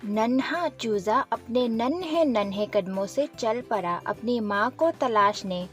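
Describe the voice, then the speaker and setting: A woman's voice: a little high, expressive, takes it slowly, soft background music. A woman narrating a scripted children's story as its storyteller.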